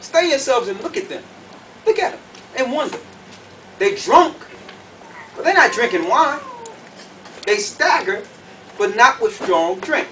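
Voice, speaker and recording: A man preaching to a group in an animated, colloquial way, emphatic and full of rhetorical questions. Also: extremely high pitch, a little slow, pauses often, recorded from a distance. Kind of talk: animated sermon with questions to the audience. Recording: noisy room, picked up from afar.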